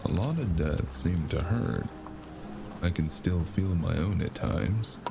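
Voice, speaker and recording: A man acting as a haunted, world-weary noir drifter with a very low, gravelly voice. He broods and delivers sardonic lines in an audio drama, with loud music behind him. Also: slow pace, full character voice. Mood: brooding. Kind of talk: noir audio drama with first-person narration. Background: loud cinematic music.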